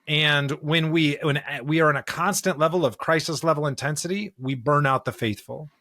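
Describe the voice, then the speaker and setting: A man's voice: fairly high pitch, moderate pace, sharp tone, no echo, clean studio sound. A man co-hosting a podcast, talking through scripture in an earnest, emphatic, conversational way. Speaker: podcast co-host.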